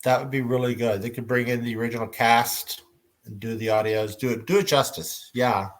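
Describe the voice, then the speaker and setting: A man moderating an informal fan panel as its host, speaking in halting, hesitant phrases. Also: medium pitch, fairly even intonation.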